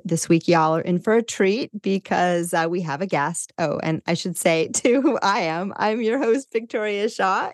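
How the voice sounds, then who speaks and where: A woman's voice: fairly low pitch, dry recording, studio quality. A woman hosting a spiritual podcast as an intuitive counselor, chatty and upbeat as she introduces her guest. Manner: bubbly and upbeat, chatty with fillers. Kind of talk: podcast hosting, casual chat.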